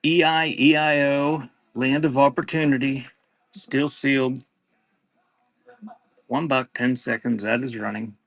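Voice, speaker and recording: A man's voice: mid-range voice, fairly even intonation, measured pace, pauses often, fairly bright tone, no echo. A man hosting a live-stream auction, announcing items in short clipped phrases and chatting casually with viewers in between. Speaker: live auction seller and host.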